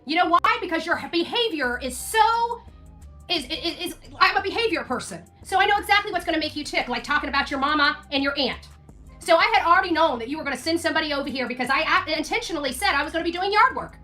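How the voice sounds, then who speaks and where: High pitched sharp woman streamer ranting, combative n taunting, repeats herself, bit of music behind. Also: animated, fast talker. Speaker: livestreamer. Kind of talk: confrontational livestream rant.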